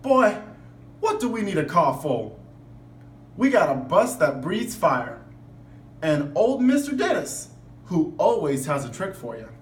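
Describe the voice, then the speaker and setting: A man voicing a firm, warm grandmother in a folksy, gently scolding way for a storybook. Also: a little high, big pitch swings, unhurried, long pauses. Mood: chiding, upbeat. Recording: echoey room, distant mic, background noise.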